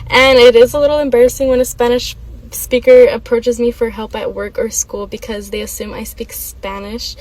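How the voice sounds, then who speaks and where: Young woman, student testimony, hesitant with ums, a touch high-pitched. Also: little pitch movement, normal speed, darkish tone, a little hiss or hum, poor audio. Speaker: student interviewee. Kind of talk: personal testimony.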